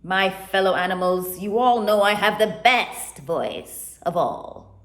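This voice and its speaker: A woman reads as a puffed-up billy goat who is sure his voice is the finest of all. He speaks slowly and grandly, with pompous self-importance, and the room around him rings with echo. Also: medium pitch, very animated. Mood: boastful. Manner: grand, slow, pompous delivery. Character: boastful male goat, proud of his voice. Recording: echoey room.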